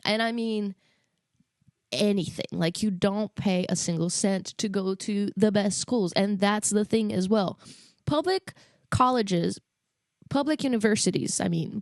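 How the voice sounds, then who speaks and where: A woman's voice: mid-range voice, no echo, studio quality. Chatty rambling young woman vlogger. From Brazil. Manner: chatty rambling, self-mocking, jumps between tangents. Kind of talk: casual storytime chat to camera.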